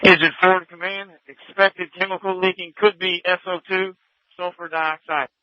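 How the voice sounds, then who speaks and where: A man's voice: sits high, flat delivery, normal speed, no room sound, highs cut off. A man reporting from an emergency scene as a fire officer over two-way radio, businesslike and urgent. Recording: band-limited emergency scanner radio.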